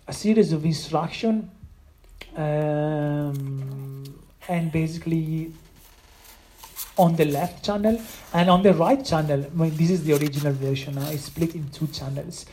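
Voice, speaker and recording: A sound artist, not a native English speaker, explaining their own piece with many pauses and restarts. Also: medium pitch, fairly even intonation, mellow. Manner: hesitant, many restarts and fillers. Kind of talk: explaining own work in a conversation.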